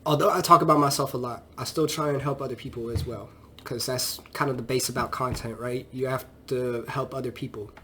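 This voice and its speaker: A man's voice: medium pitch, fairly even intonation, fairly quick, sharp tone. A man in his twenties, a fitness trainer, talking casually and rambling in a vlog with a stuffy nose from a cold, full of loose fillers. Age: 20s. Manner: loose and chatty, with many fillers like "you know".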